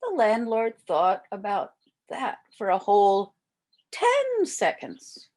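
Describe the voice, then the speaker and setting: Older female storyteller for kids, hugely varied intonation, unhurried picture-book reading with drawn-out emphasis. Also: medium pitch. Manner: slow, expressive, drawn-out emphasis for young children. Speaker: volunteer storyteller for children.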